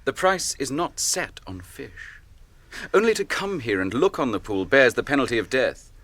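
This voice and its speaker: A stern, commanding captain. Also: male voice, mid-range voice, animated, fairly bright tone, no room sound. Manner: grave, measured and authoritative. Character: stern noble male captain of men, grave and commanding. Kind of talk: radio drama acting.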